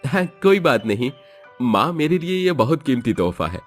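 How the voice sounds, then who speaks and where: A man's voice: medium pitch, very animated, normal speed, soft background music, voice pushed up. A man voicing a young husband in a raised, eager voice. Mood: polite. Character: young husband, sweet then demanding.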